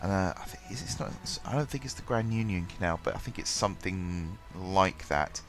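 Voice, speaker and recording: A man talking over his gameplay, sounding bunged up from a cold. His voice sits fairly low, and he rambles through the commentary with plenty of ums and self-corrections. Soft music plays quietly in the background. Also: expressive, brisk. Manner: rambling, many ums and restarts. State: congested with a cold, stuffy voice. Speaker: gaming channel host. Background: faint background music.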